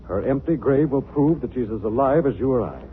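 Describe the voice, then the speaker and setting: An actor playing an assured, skeptical gentleman sleuth in a 1940s radio drama, explaining things to his companion. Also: mid-range voice, lively intonation, a little fast, phone-quality sound, lightly acted. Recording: thin, noisy 1940s radio recording.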